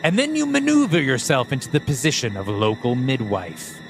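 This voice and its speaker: A man's voice: mid-range voice, wildly swooping pitch, normal speed, gravelly, faint music behind. A man voicing a mocking male demon who has possessed a priest and taunts a congregation with theatrical flair. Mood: preaching.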